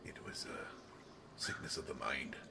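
A man playing a brutish, slow-witted but protective monster in an audio roleplay, speaking in halting phrases that swing from hushed to loud. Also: noisy background, close to an everyday voice. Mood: brooding, confiding. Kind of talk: intimate dramatic audio roleplay monologue.

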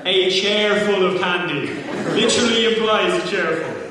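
Male panel reader, higher-pitched, theatrical and amused, heard from afar in a hall. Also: animated, normal speed, background noise. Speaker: panel performer reading fan fiction. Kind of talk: comic dramatic reading with ad-libbed asides. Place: large conference hall, panel table before audience. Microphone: far camera. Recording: distant camera mic in echoey hall with audience.

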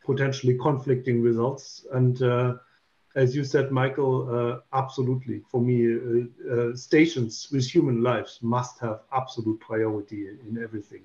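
A man in his fifties, an English speaker, explaining carefully as a panel guest on a video call and pausing on many "uh" fillers. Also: medium pitch, level tone, a little mellow. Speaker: panel guest, space safety expert. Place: home room, indoors. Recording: dull lo-fi call audio.

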